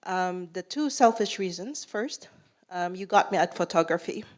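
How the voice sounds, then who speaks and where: A woman's voice: on the low side, animated, normal speed. A woman from the Philippines with a Filipino accent, giving friendly spoken feedback as a jury member and laughing easily. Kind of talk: spoken feedback at a pitch event.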